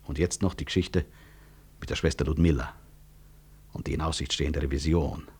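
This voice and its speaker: An actor playing a weary, proud physician-professor in a 1960s German radio drama, husky, reflective and articulate, with wry self-mockery. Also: male voice, a bass voice, steady tone, a little mellow, exaggerated voice. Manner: reflective, articulate, deliberate stage delivery.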